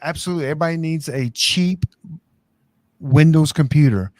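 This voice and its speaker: Mellow American man, casual live host. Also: on the high side, a little fast, no room sound. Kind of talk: casual live chat, rambling tech advice.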